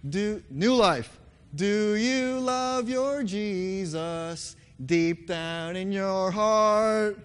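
A young man preaching a sermon with a hoarse voice, earnestly leading his congregation in song. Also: high-pitched, very slow. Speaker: pastor. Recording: long reverb hall.

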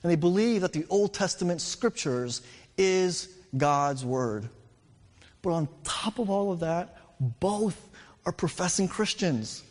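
A man's voice: sits high, lively intonation, slow, in a large hall. A middle-aged man with a New York accent, preaching a sermon as pastor and explaining with rising and falling emphasis.

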